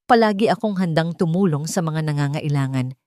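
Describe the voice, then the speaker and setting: A woman narrator voices a rich older Filipino man, the villain of an audio story. He is smug, cruel and mocking, with a dark, mellow tone in a dry recording. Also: low-pitched, expressive, a little fast, no room sound. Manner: mocking, self-satisfied. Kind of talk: audio story character acting.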